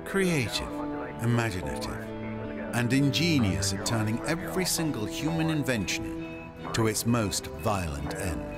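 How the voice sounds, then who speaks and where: A man narrates a game cinematic in a medium-pitched voice that rises and falls dramatically. His delivery is wry and theatrical, with deliberate, storyteller-like phrasing. A prominent music score plays beneath him throughout. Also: big pitch swings.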